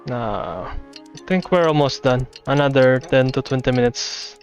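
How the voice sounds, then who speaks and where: A man's voice: medium pitch, expressive, a little slow, over music. A man speaking in laid-back, muttered asides while he plays a game. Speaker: game streamer. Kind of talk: casual aside while playing.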